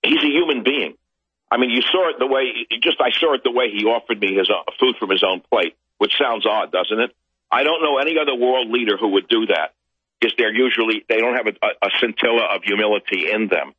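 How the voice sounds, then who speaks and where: A male guest on a political talk show, ranting indignantly and climbing to loud outbursts. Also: fairly low pitch, fairly quick, slightly rough, no echo, muffled recording. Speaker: guest commentator.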